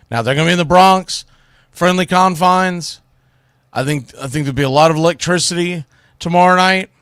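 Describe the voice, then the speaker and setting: A man with a slightly high-pitched voice hosts sports talk in a dry, close-sounding space. He gives unscripted analysis in a blunt, opinionated way, leaning on key phrases for emphasis. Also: fairly bright tone, no room sound. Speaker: sports radio show host.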